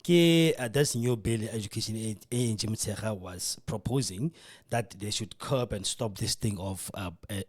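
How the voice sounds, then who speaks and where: A South African man whose first language is Setswana, hosting a radio show in casual, animated commentary that mixes English with Setswana. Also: lowish voice. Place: radio studio.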